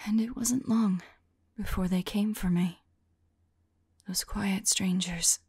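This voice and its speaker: A woman playing a remorseful, lovelorn female vampire in an ASMR roleplay, whispering softly and tenderly in a halting, intimate monologue. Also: mid-range voice, no room sound, full character voice. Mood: haunted, sorrowful. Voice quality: soft, breathy whisper. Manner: slow, halting, tender delivery.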